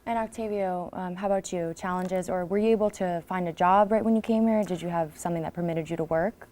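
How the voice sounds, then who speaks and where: A woman's voice: lowish voice, steady tone, fast, warm tone. Woman hosting an interview program. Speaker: TV program host. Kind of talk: scripted intro then casual interviewing.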